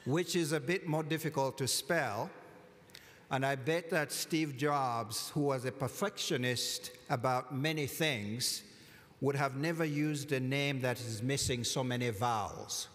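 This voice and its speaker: A man giving a formal commencement address from a lectern, measured and deliberate with touches of dry humor. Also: medium pitch, fairly bright tone. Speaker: college commencement speaker. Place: large hall at a lectern, indoors. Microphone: lectern microphone. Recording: long hall reverb.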